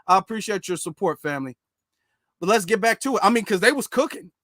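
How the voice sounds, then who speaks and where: A man's voice: high-pitched, animated, quick pace, fairly bright tone, dry recording, studio quality. A man from the United States giving informal, emphatic political commentary on a live stream, repeating phrases for stress.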